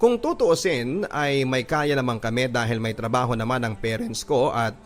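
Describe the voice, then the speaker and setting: A man's voice: medium pitch, moderate pace. A man with a Filipino accent reads a listener's letter as a radio host, in an earnest, sentimental storytelling style. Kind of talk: dramatic scripted narration of a listener's letter. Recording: music under the voice. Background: background music.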